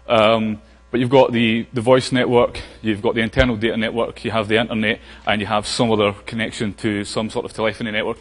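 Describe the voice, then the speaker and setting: Scottish man lecturing in a hall. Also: on the low side. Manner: casual, frequent fillers and restarts. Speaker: conference speaker. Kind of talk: technical conference talk. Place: large conference hall. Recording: long hall reverb.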